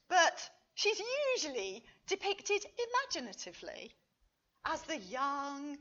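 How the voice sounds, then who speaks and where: A woman reading a scripted academic paper as a conference speaker, with measured, deliberate and emphatic phrasing. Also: high voice, sharp tone, dull audio.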